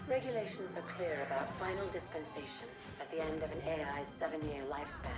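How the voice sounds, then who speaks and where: The composed, formal, softly wistful AI a woman acts left minding a sleeping starship in a game cutscene, low voice echoing under loud music. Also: lightly acted. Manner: measured, formal, gently wistful. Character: composed, dutiful female ship AI bidding a fond farewell. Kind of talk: scripted dramatic monologue.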